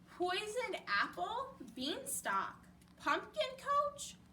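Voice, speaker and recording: A woman's voice: extremely high pitch, wildly swooping pitch, drawn-out words, pushed and bright, pitched above the performer's own voice, full character voice. A woman voicing an earnest, eager male amateur cook in a children's storybook. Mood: dismayed. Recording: distant mic with background noise.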